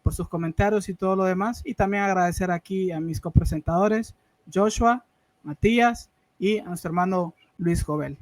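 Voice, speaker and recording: A male host wraps up an online show over a video call, polite and leaning on tag questions. His voice sits high for a man and sounds dry and close. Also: normal speed, dry recording. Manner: polite, filler-heavy, repeats "¿verdad?". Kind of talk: show closing remarks.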